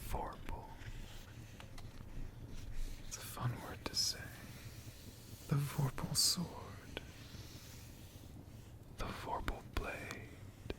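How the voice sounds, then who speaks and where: A man playing a friendly, bookish dragon who tells stories in a soft, breathy ASMR whisper, gently lingering over words. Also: very slow, pauses often. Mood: gentle, musing. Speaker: ASMR host.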